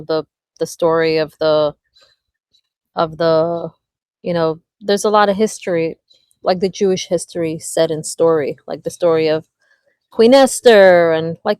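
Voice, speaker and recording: A woman from Israel with an Israeli accent, speaking English as a second language in a casual group call, pausing and searching for words as she talks. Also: on the low side, clear, resonant tone, no room sound. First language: Hebrew. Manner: halting, searches for words with many fillers. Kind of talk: casual video call chat.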